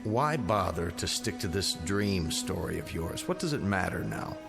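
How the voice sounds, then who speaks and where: Skeptical, lively-toned district attorney. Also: male voice, low voice, vocal fry, loud music behind the voice. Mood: weary, probing. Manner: measured, argumentative, lawyerly. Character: skeptical male district attorney, rational, stubborn, exasperated. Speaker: actor. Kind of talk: radio drama acting.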